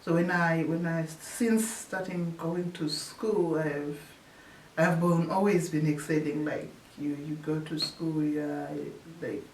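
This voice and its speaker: A woman's voice: sits low, fairly even intonation. Lady researcher, keeps restarting her sentences, mic kinda far off, faint hiss. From Zimbabwe. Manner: halting, often restarts phrases. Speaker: researcher telling her story. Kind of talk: casual interview storytelling. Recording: echoey room, distant mic, faint hiss.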